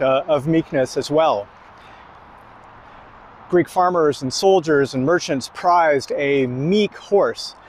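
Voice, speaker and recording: Male preacher, slow emphatic delivery, slightly high voice, faint noise behind. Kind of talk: sermon.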